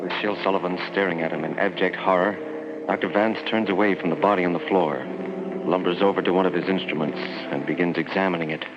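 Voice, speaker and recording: An actor playing an ominous, dramatic announcer narrating an old-time radio horror drama. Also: male voice, low voice, fairly even intonation, fast, highs cut off, natural voice. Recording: band-limited old radio, loud music.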